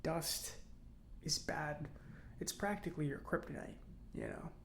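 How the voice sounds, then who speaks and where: A man playing a chatty, opinionated robot mechanic in an ASMR roleplay, speaking softly and often near a whisper in a halting, musing, conversational way. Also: a little high, wildly swooping pitch, vocal fry, a little hiss or hum, exaggerated voice. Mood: casual advising. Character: chatty, opinionated male government robot mechanic, gently confiding. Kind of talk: soft-spoken ASMR roleplay.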